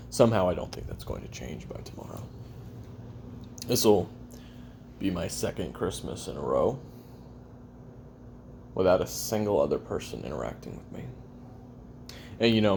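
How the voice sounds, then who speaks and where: A man playing a weary, lonely, bitter assassin recording a halting, confessional audio-drama memoir in a gravelly voice. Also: deep voice, a little mellow, a little hiss or hum, heavily acted. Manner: halting, brooding, self-deprecating, trailing off. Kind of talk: confessional audio roleplay monologue.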